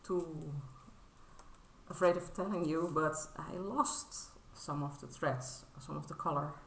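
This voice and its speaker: A Dutch woman in her thirties chats in English with a low, gravelly voice that still sounds bright and a little tense. She hesitates a lot, filling the pauses with filler sounds and restarting her sentences. The room is echoey, with a faint hum of ambience behind her. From Netherlands. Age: 30s. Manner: modest and apologetic. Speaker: crafting vlogger. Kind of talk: casual show-and-tell vlog.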